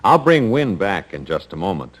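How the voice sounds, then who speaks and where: A man's voice: deep voice, very animated, moderate pace. An American man hosting a radio drama, reading scripted narration in a 1950s announcer style.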